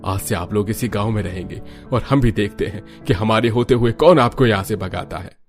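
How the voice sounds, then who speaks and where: A man's voice: fairly low pitch, normal speed, faint music behind, close to an everyday voice. Earnest, protective village man played by an actor. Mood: solemn, resolute. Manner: earnest, solemn.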